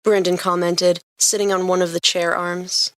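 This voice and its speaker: A woman's voice: on the low side, flat delivery, moderate pace, no room sound. A woman reading scripted fiction aloud as the narrator of a story.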